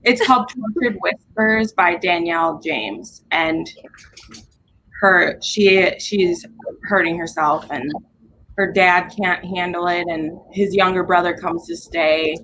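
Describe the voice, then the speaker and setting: A woman's voice: fairly low pitch, steady tone, takes it slowly, fairly bright tone. A woman talking casually about books as a reviewer on a group livestream. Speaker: book reviewer in a livestream readalong. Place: at home on a group video call. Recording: noisy home livestream audio.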